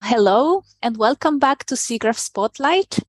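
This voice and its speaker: A woman's voice: fairly high pitch, animated, measured pace, dry recording. A woman from Peru raised in Venezuela, hosting a conversational interview and asking questions with enthusiasm. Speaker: podcast host.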